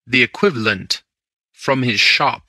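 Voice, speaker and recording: A man reading an audiobook, voicing a cool, quietly amused gentleman burglar who speaks low, hushed and unhurried. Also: dry recording. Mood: calm. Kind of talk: audiobook character voice.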